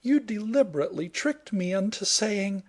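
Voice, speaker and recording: A man voicing an excitable young heroine in a read-aloud story. The voice sits far above his own and rises sharply in alarm or outrage. She is emotional and quick to take offence. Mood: indignant. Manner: high-pitched, emotional, indignant. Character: anxious excitable young woman in peril.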